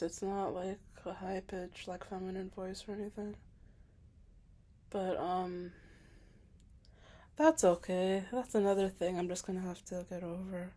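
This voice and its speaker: A young woman in a casual, personal vlog monologue. She talks hesitantly and self-consciously, fills her sentences with filler words, and sometimes drops to a whisper. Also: mid-range voice. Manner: soft-spoken, at times dropping to a whisper. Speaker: vlogger.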